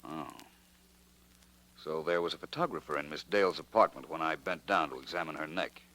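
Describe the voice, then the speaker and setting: Suave private detective, deep voice, forceful edge, clipped, unflappable, old radio mystery. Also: male voice, a little fast. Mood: cool, steely. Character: cool, confident male private detective, unflappable. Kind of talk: mid-century American radio detective drama acting.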